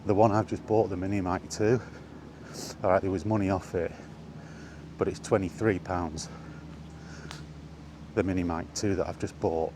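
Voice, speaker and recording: A man's voice: sits low, darkish tone, slight background noise. A man vlogging casually about gaming in a rambling, plain-spoken way while out walking, recorded on a small wireless mic.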